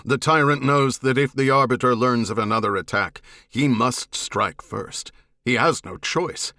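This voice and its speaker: A man's voice: mid-range voice, measured pace, bright, forceful tone, no room sound, studio quality. A man voicing a blunt, seasoned alien warrior subordinate with a formal, emphatic way of speaking, in an audiobook. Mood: insistent. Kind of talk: audiobook character dialogue.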